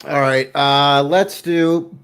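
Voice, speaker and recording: A man's voice: fairly high pitch, steady tone, slow, a bit bright, clear, resonant tone, faint music behind. Laughing, rambling man on a game stream. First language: English. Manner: laughing, self-deprecating, stumbles over words. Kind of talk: casual gaming stream chat.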